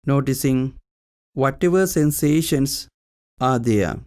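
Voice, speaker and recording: A man leading a guided meditation as a teacher, speaking in short, calm instructional phrases with long pauses. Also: mid-range voice.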